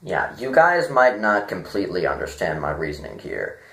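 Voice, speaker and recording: A man giving a casual, unscripted review, halting and rambling as he thinks aloud. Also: low voice, sing-song, vocal fry, a bit bright. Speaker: online story reviewer.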